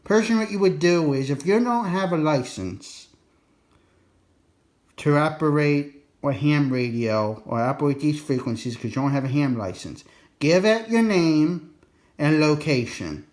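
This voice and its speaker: A man's voice: fairly high pitch, lively intonation, measured pace, darkish tone. A man giving a casual, unscripted explainer in a folksy, colloquial way, rambling a bit and repeating his warnings for emphasis. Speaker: presenter of an instructional radio video.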